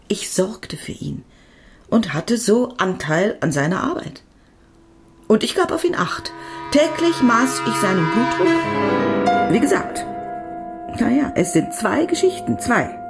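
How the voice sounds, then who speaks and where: A woman's voice: mid-range voice, gravelly. An actress playing a devoted woman doctor who tells of her love in a reflective, intimate radio drama monologue.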